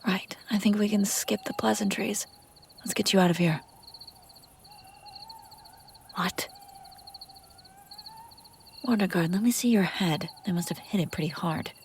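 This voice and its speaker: A woman's voice: mid-range voice, normal speed, pauses often, a little hiss or hum, natural voice. A woman playing a brusque, sardonic but secretly caring female bounty hunter in a scripted fantasy audio roleplay, speaking straight to the listener. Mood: urgent, hushed. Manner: sarcastic, teasing, often dropping to hushed urgency.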